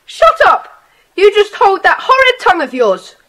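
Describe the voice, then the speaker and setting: A woman's voice: very high-pitched, big pitch swings, normal speed, a bit bright, full character voice, poor audio. A woman playing a hot-tempered, sulky young boy in an audio drama, blurting out the words. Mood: cross, sulky.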